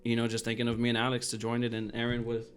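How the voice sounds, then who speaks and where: A man's voice: medium pitch, completely flat delivery, quick pace, clear, resonant tone. Casual young man chatting on a podcast. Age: 20s. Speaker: podcast co-host. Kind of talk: casual personal chat.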